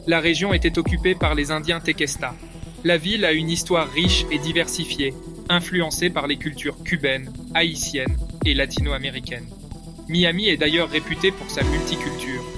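A man reads a scripted fact segment in an even, smooth delivery. His voice is somewhat high for a man, and clear music runs underneath it. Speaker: segment presenter.

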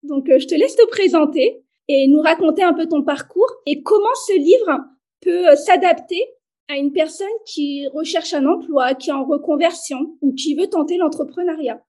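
A woman's voice: sits high, normal speed, mellow. A woman hosting a podcast as an HR expert, friendly and enthusiastic, addressing the listener directly.

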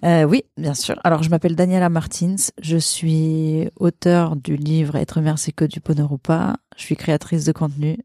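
A woman's voice: low voice, level tone, moderate pace, hardly any pauses, dark tone, no room sound, clean studio sound. A woman in her thirties from Portugal, a podcast guest chatting casually with many hesitations and frequent laughter. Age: 30s. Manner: chatty, many fillers and restarts, laughs often. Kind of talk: casual conversational storytelling.

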